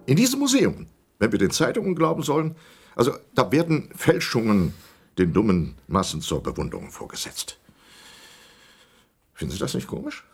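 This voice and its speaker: An actor playing a small, eccentric old wealthy yacht owner in a radio crime drama, rambling theatrically and repeating himself. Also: male voice, medium pitch, huge pitch swings, moderate pace, gravelly, pauses often, husky, exaggerated voice. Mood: excited, insistent. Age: older.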